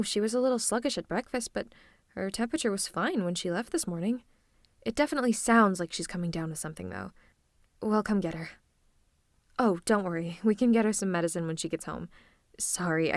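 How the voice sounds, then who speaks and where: A woman's voice: lowish voice, no room sound, close to an everyday voice. Girl doing a teasing lady supervillain, coaxing and fond, asmr roleplay, super dry close sound. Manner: coaxing, drawn-out endearments and monologues. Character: teasing, theatrical female supervillain, fond of her nemesis. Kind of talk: intimate roleplay audio acting, ASMR.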